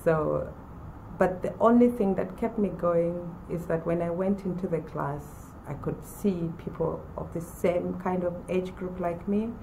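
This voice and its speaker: Woman in her forties, low and mellow with a gravelly edge, giving a personal testimonial. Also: slight background noise, poor audio. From Uganda. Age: 40s. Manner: measured, with pauses and restarts. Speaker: former college student.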